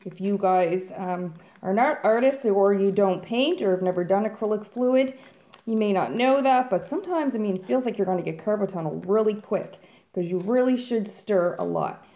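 A woman's voice: mid-range voice, lively intonation, moderate pace, dark tone, roomy sound. A woman hosting a fluid art channel, chatting casually and upbeat to viewers while she mixes paint. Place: home art studio, indoors.

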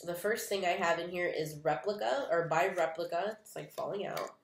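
A woman's voice: on the low side, normal speed, a little hiss or hum. Stuffy-nosed young woman, echoey room. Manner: chatty, rambling, many "um" and "like" fillers. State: sick with a stuffy, nasal voice. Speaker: beauty vlogger. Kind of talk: casual unboxing chat. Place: small room indoors.

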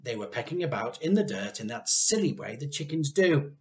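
A man in his fifties reading a children's story aloud, animated and emphatic, drawing out key words. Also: medium pitch, a little fast. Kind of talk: expressive children's storybook narration. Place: small living room indoors.

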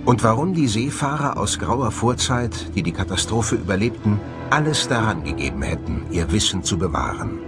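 Guy narrating a documentary, kinda low voice, really lively intonation, music underneath. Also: moderate pace, hardly any pauses. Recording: music behind the voice. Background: background music.

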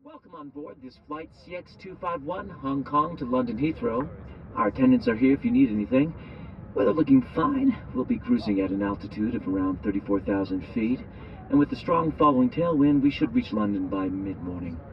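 An actor playing a reassuring airline pilot making a cabin announcement in an audio drama. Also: male voice, mid-range voice, animated, a little fast, close to an everyday voice. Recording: dull intercom-like sound, faint cabin noise.

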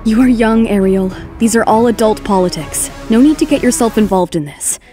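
A woman's voice: fairly high pitch, fairly quick, dark tone, soft background music, lightly acted. A woman voicing a composed, defiant, cutting model in an audio drama.

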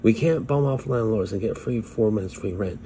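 A man's voice: lowish voice, moderate pace, mellow, slight background noise, natural voice. A man playing a weary homeless fortune-teller woman. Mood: plaintive. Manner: pleading, plaintive. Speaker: vlogger in character. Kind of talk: monologue to phone camera. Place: small room indoors. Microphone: phone at arm's length.

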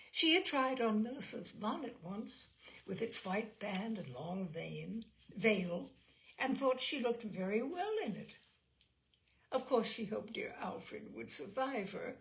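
A woman reading a literary short story aloud as a storyteller, with expressive, measured phrasing. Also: a little high, gravelly. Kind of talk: literary audiobook narration.

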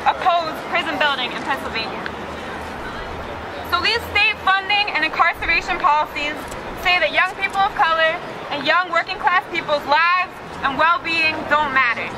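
A woman activist delivering a prepared political speech at a rally. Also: very high voice. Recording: outdoor crowd and vehicle noise.

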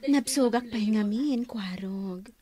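A woman's voice: mid-range voice, slow, crowd noise, natural voice. An actress with an Ilocano accent playing a coy, choosy young woman being courted, in languid radio comedy style. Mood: coy, dismissive.

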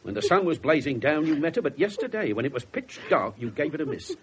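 An actor playing a middle-aged English police inspector in a 1970s radio drama, gruff, wry and blunt as he questions people. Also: on the high side, sing-song, fast. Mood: hard, pressing. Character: gruff, callous, wry widowed male police detective. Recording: noisy 1970s radio broadcast recording.

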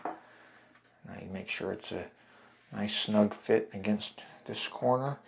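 A man walks through making a print in his home darkroom, talking slowly and thinking out loud as he works. His voice sits low with a gravelly edge, and he often stops to restart a phrase. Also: slight background noise. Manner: relaxed, thinking aloud with fillers and restarts. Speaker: photography channel host. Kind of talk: hands-on darkroom tutorial. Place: home darkroom in a laundry room.

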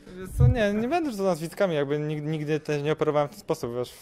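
A man's voice: a little high, quick pace, noisy background. A young man, a boxer, answering interview questions into a handheld mic at an indoor event, hesitant with many fillers and repeated words. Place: event press wall, indoors. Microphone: handheld mic held by interviewer.

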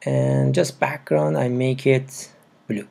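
Guy w/ non-native english accent doing a casual screencast walkthrough, slow n halting, kinda husky. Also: medium pitch, level tone, a little mellow. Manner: halting, broken phrasing. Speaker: programming tutorial presenter. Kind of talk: casual step-by-step screencast narration. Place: indoors at a computer.

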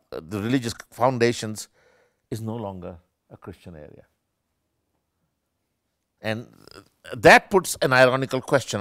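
An older man, a professor, giving an animated and discursive academic lecture with many self-corrections, seated in a small room with armchairs. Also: medium pitch, no echo, studio quality. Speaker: keynote speaker, professor.

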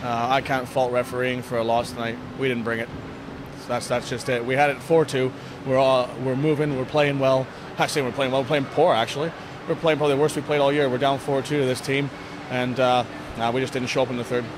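A man in his thirties, a sports coach giving a candid, casual post-game interview in a plain-spoken, halting way with repeated words. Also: mid-range voice, little pitch movement. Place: indoor sports hall, blue block walls. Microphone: handheld interview microphone. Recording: noisy venue with background ambience.

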